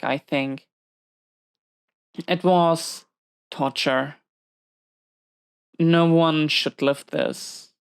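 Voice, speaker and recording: A low, smooth-voiced female villain behind bars, acted by a woman, speaking slowly in an intimate audio roleplay. She is wounded and weary, confiding in halting, reflective phrases. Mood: somber, resigned. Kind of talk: slow intimate audio roleplay monologue to a listener.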